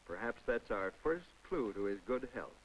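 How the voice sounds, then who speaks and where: A man's voice: mid-range voice, expressive, normal speed, slight background noise, low-quality recording. A man narrating a scripted 1950s public information film in a folksy, instructive way, with conversational asides to the viewer. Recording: vintage 1950s film soundtrack.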